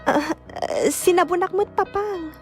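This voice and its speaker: Lady playing meek ilocano daughter in law, radio drama, high plaintive voice, slow and hesitant, music behind. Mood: weary, plaintive. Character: meek, long-suffering young daughter-in-law. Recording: music bed behind close dialogue.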